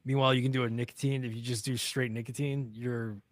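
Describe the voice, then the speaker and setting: A man's voice: medium pitch, flat delivery, fast, no echo. A man co-hosting a casual studio talk show, rambling a little as he qualifies his points.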